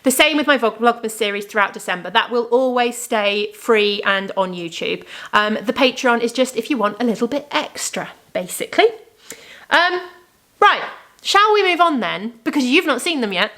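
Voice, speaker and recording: Woman, crafting podcast host, casual show-and-tell, chatty, enthusiastic, slightly hoarse. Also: a little high, expressive, moderate pace, sharp tone. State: slightly losing her voice.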